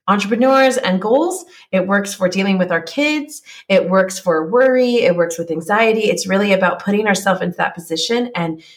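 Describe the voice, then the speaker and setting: A woman's voice: mid-range voice, normal speed, hardly any pauses. A woman from California with an American accent, explaining things conversationally as a coach and podcast guest, encouraging and acting out little inner dialogues.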